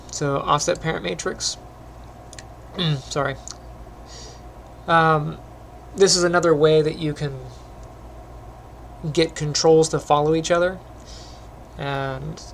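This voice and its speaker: A middle-aged man talks through his animation work at a desk mic, slightly higher voice with a gravelly, phlegmy edge. He rambles in a relaxed way, full of ums, and keeps clearing his throat. A faint hiss sits under the recording. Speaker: animation teacher. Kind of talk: casual screen-recorded tutorial chat.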